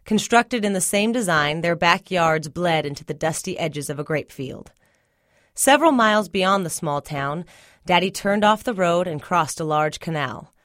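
A woman's voice: lowish voice, expressive, normal speed, a bit bright, no echo, studio quality. A woman reading a first-person literary short story aloud as scripted narration. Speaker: story reader.

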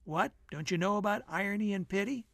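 A man's voice: high-pitched, brisk, gravelly, dry recording, clean studio sound, pitched above the performer's own voice. Man acting out a brash, teasing American wisecracker. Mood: mock-insistent. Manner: emphatic, needling, declamatory. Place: dry radio studio.

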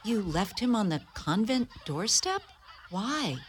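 A woman plays a devoted young-minded nun in a radio drama. Her mid-pitched voice swoops in lively, animated turns as she speaks earnestly and apologetically. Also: takes it slowly, slight background noise. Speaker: community radio play actor. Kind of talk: community radio play acting.

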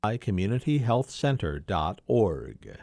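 A male announcer delivers a scripted sponsor credit. His low voice is dark and creaky, with lively intonation in a dry recording. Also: measured pace, dry recording. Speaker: underwriting announcer.

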